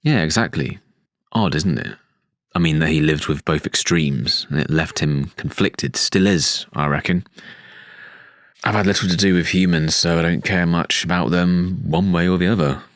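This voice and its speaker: A British man playing a measured, reflective storybook character giving a thoughtful interview in an audio drama. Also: sits low, a bit bright, dry recording, studio quality. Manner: thoughtful, hesitant, conversational.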